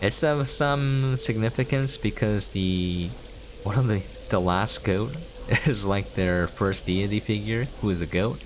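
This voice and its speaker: A man's voice: lowish voice, unhurried, noisy background. A man giving casual gameplay commentary as a streamer, explaining things as he plays. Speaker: video game streamer.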